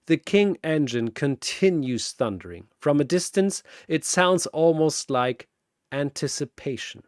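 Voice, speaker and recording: A man narrates a battle story with theatrical emphasis. His pitch swings widely while the pace stays slow and deliberate, in a very dry recording. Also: expressive, a little mellow, no echo. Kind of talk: dramatic scripted battle narration.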